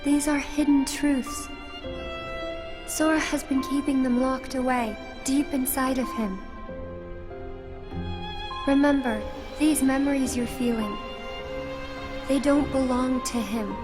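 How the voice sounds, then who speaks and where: A wistful teenage girl in a video game, soft and solemn. The voice is high and slow, with music playing behind it. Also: fairly even intonation. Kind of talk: video game cutscene acting.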